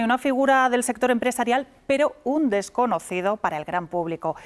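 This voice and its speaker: A woman presenting sports news in a clear, conversational way. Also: medium pitch. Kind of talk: news reading.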